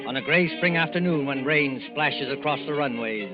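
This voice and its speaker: A man hosting and narrating a 1940s radio thriller in a measured, dramatic style. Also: medium pitch, music in the background. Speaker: program host and narrator. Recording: muffled vintage radio recording.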